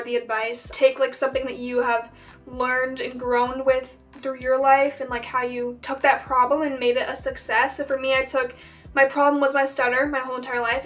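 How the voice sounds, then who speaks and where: A woman's voice: sits high, fairly bright tone, distant mic. A young woman in her late teens who speaks with a stutter, talking casually to camera with frequent filler words. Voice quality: has a stutter. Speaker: vlogger.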